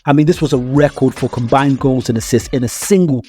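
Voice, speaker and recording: A man's voice: medium pitch, fairly quick, dark tone. A man delivering scripted sports analysis as a video narrator, with emphatic phrasing and rhetorical questions to the viewer. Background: music.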